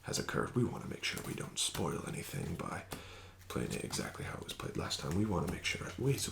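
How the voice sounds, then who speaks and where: A man doing an ASMR roleplay as a casino card dealer, talking slowly and softly with smug, teasing patter that slips into whispers. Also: deep voice, big pitch swings, vocal fry. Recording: audible background noise.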